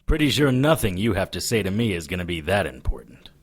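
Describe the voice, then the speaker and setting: A man's voice: deep voice, sing-song, fast talker, breathy edge, heavily acted. A man playing a world-weary, wisecracking hard-boiled investigator in an audio drama, dry and sarcastic. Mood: teasing, defensive.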